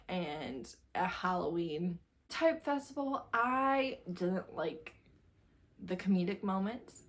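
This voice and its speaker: A woman giving a casual, chatty book review in an informal, emphatic way, often repeating phrases for emphasis. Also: lowish voice.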